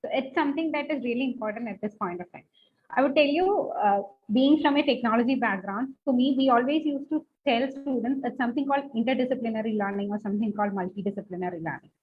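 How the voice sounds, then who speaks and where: A woman's voice: a little high, fast talker. A woman, a teacher explaining things conversationally as a panelist. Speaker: panelist, college technology teacher. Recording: dull lo-fi sound, faint noise.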